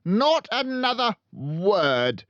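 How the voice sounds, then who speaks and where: A furious, domineering storybook headmistress, acted out by a man. The voice is pushed high and loud, leaping about as it snorts and bellows. The recording is slightly muffled and dry. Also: sing-song, drawn-out words, no echo, exaggerated voice. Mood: bellowing. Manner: barking, bellowing, snorting contempt. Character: tyrannical, furious, bullying headmistress, a huge middle-aged woman. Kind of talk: children's audiobook character voice.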